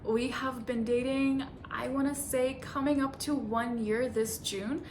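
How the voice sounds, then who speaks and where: A woman's voice: high-pitched, fairly even intonation, a little slow, background noise. A woman in her twenties, originally from Bulgaria, chatting casually through a Q and A vlog, laughing easily and adding self-conscious asides. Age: 20s. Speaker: vlogger.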